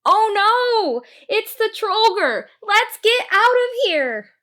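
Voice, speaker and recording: A woman's voice: very high voice, big pitch swings, takes it slowly, sharp tone, pitched above the performer's own voice, heavily acted. A woman voicing a frightened young boy on the run, urgent and pleading, in a storybook. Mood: panicked.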